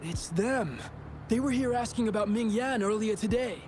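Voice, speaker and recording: A man's voice: high-pitched, normal speed, darkish tone, a little hiss or hum, lightly acted. An actor voicing a blunt, resentful male gang underling in a video game, with a reproachful manner.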